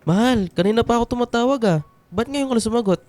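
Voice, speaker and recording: An actor playing a caring, reassuring young husband in a radio drama, speaking with gentle concern. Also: male voice, very high-pitched, quick pace, dry recording, faint music behind. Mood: affectionate.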